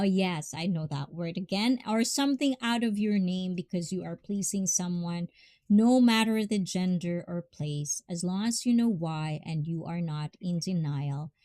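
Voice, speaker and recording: A woman's voice: fairly low pitch, darkish tone, no echo. A woman with a Filipino accent hosting a casual livestream, chatting with viewers, laughing often and giving heartfelt advice. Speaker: livestream host.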